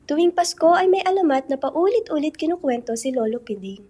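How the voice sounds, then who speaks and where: High, mellow woman narrator. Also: animated, a little fast. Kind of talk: scripted radio drama narration.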